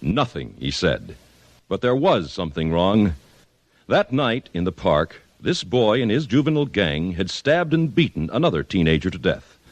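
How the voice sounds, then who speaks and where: A man's voice: low-pitched, big pitch swings, moderate pace. An American man reading scripted announcements in 1950s radio style. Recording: old 1950s radio broadcast recording.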